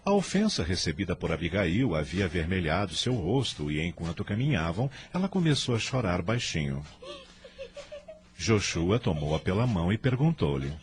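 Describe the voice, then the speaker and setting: A male narrator tells a radio drama story. His voice sits low and moves with plenty of expression, in formal, literary phrasing. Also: big pitch swings, normal speed.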